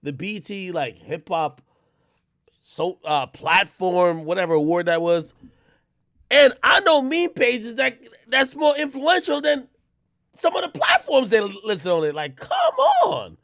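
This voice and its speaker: A man's voice: high-pitched, sing-song, measured pace, fairly bright tone, dry recording, clean studio sound. Profane man chatting to his stream. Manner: loose, profane, talks straight to chat. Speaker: live streamer. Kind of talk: casual live-stream chat.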